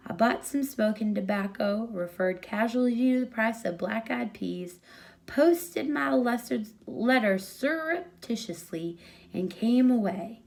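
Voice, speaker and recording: A woman's voice: fairly high pitch, expressive, unhurried, gravelly, darkish tone. A woman reading a short story aloud as a storyteller, in scripted narration.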